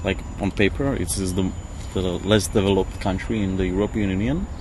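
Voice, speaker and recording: A man's voice: low voice, brisk. A man who is not a native English speaker, giving a reflective interview outdoors and searching hesitantly for words. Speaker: interview guest. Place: outdoors on a river island. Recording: clearly noisy outdoor field recording.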